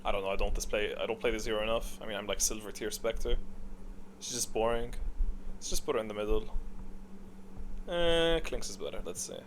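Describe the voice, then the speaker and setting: A man's voice: fairly low pitch, fairly quick, pauses often. Offhand man over background noise. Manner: offhand, hesitant, second-guessing himself. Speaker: gaming video host. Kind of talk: casual tier-list commentary, thinking aloud.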